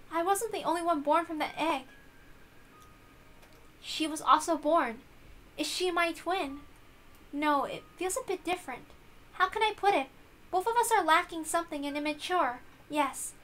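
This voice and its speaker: Woman voicing a high, timid young witch girl. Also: a bit bright. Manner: hesitant, polite, stammering. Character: timid, earnest young newborn witch twin, female. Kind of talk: dramatic visual novel reading.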